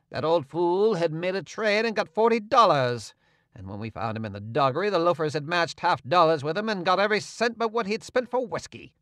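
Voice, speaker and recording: A gruff, bossy swindler in a storybook reading, as a male narrator puts him on, with a lively, swooping voice that turns low and threatening. The sound is dry and close. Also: no echo. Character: scheming middle-aged male swindler, gruff and menacing.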